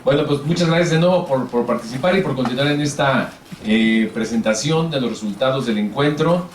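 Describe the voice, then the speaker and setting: A man's voice: medium pitch, a little fast, a little hiss or hum. A man moderating a public panel, politely explaining the format and calling up speakers, with frequent hesitation fillers. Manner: organized, polite, filler-heavy. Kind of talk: event moderation. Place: event hall. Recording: echoey hall, distant mic.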